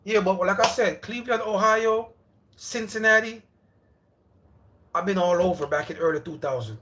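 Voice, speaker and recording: A man's voice: sits high, normal speed, background noise. Chatty man hosting a livestream. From Jamaica. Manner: loose, rambling, repeats himself, calls out to viewers as brother and bro. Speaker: livestream host. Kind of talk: casual livestream chat with viewers.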